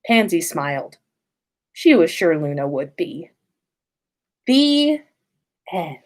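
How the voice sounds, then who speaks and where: A woman hosting a story livestream in an informal, playful and expressive way. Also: fairly low pitch, takes it slowly. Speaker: podcast host and story reader. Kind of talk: livestream story reading and casual chat.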